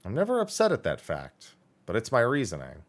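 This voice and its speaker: A man's voice: on the low side, huge pitch swings, a little fast, a little hiss or hum. A man livestreaming casual gaming chat in a blunt, opinionated way on a close microphone.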